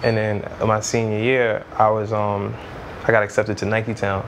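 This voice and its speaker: A man's voice: on the low side, flat delivery, moderate pace, a little hiss or hum. A man in his thirties from Trinidad, raised in Brooklyn, telling stories in a loose, rambling interview way. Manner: loose, rambling, lots of "you know what I mean". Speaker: music executive guest. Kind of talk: casual interview storytelling.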